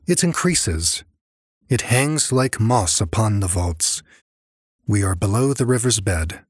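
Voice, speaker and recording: A man's voice: mid-range voice, husky, no room sound, clean studio sound. A man voicing a cunning, vengeful aristocrat in audiobook dialogue, formal and falsely solicitous. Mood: feigned concern.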